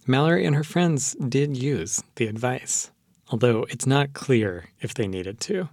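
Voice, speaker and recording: A man's voice: medium pitch, lively intonation, measured pace, darkish tone, no room sound, studio quality. A man hosting a radio show, reading scripted links and introductions.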